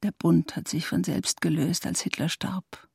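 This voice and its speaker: An embittered older mother in a radio play, fanatical and unforgiving. Her voice is husky and gravelly, brooding and often half-whispered. The recording is close and dry. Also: fairly low pitch, steady tone, a little slow, darkish tone, no echo, heavily acted. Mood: bitter, grieving. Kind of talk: literary German radio drama acting.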